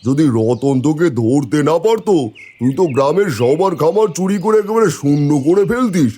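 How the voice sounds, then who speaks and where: A man's voice: on the high side, animated, fairly quick, dark tone. A man voicing a stern, authoritative village landlord in a cartoon, with a commanding, scolding manner. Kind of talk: cartoon voice acting.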